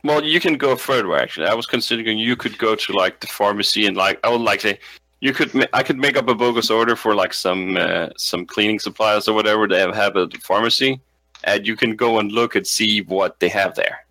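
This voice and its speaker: A man with a lowish but crisp, forward voice plays a tabletop roleplaying game. He talks casually, repeating words as he works out his plans. The recording is dry and close. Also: brisk, sharp tone, dry recording, low-quality recording. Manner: hesitant, repeating words while thinking aloud.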